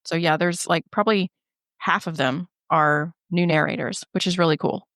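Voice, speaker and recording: A woman co-hosting a casual travel podcast, sounding slightly nasal and stuffed up from a head cold. Her voice sits a little low. The sound is dry and close, with no room echo. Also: normal speed. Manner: runs through lists in a steady, even delivery. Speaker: podcast co-host and travel agent.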